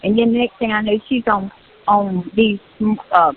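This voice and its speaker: A woman's voice: mid-range voice, steady tone, moderate pace. An older woman with a Southern US accent, venting on a personal phone call and insistently repeating phrases for emphasis. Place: recorded prison phone call. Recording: tinny phone line with hiss.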